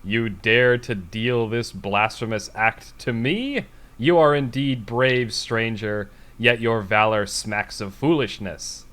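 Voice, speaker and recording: A man voicing a massive, surly, defiant barbarian with slow, scornful declamation in a storybook reading. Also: fairly low pitch, a bit bright.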